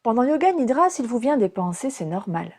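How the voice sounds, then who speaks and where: A woman guides a relaxation meditation as a yoga nidra teacher, calm and soothing, speaking in short phrases with pauses. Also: on the high side.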